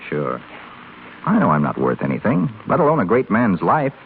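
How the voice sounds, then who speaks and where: A man's voice: on the low side, wildly swooping pitch, moderate pace, gravelly, full character voice. An actor playing a brash, sardonic young rich man in a 1930s radio drama. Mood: bitter, sardonic. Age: young adult. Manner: wisecracking, sardonic. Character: brash, flippant, restless young rich male hospital patient. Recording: scratchy, tinny 1930s radio broadcast recording.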